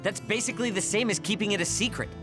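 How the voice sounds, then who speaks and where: Actor as a high-voiced, earnest young teammate. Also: male voice, fast, natural voice. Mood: questioning. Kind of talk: English anime game dub acting. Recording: music behind the voice.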